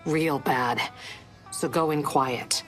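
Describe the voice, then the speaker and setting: Low-voiced, urgent informant. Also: female voice, takes it slowly, fairly bright tone, faint music behind. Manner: urgent, serious. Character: grave, urgent female informant briefing an ally. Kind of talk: dramatic game cutscene acting.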